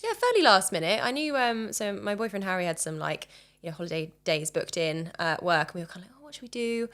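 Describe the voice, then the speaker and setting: A woman's voice: mid-range voice, sing-song, fast talker, a bit bright. A young adult woman in a casual chat, bubbly and chatty, with lots of "um" and "like". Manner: bubbly, chatty, many fillers like um and like. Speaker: podcast guest.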